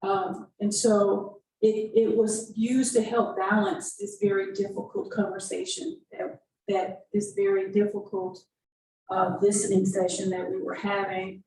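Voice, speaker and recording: A woman giving earnest, reflective remarks on a panel, pausing often in mid-phrase. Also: medium pitch, warm tone. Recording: echoey room, distant mic, background noise.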